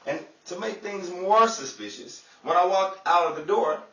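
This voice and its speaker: A man playing a befuddled, excitable wisecracking sidekick in a 1930s radio comedy, in an echoey, noisy recording. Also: sits high, moderate pace, dull audio. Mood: bemused storytelling. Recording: echoey room, far mic, noisy background.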